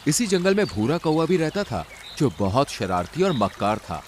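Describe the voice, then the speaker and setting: A man's voice: fairly high pitch, sing-song, moderate pace, slight background noise. A man narrating a scripted cartoon story.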